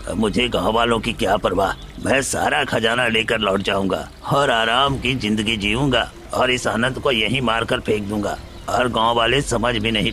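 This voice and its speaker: A man's voice: fairly low pitch, bright, forceful tone, a little hiss or hum. A man playing a greedy, scheming village uncle in a Hindi moral story, with a calculating, self-serving manner.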